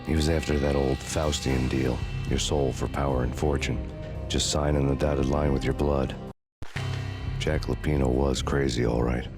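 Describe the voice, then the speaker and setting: A brooding hardboiled American avenger narrates a noir game. His voice is extremely low and flat in pitch, deadpan and wry with world-weariness. Music plays clearly behind him. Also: normal speed. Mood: grim, sardonic.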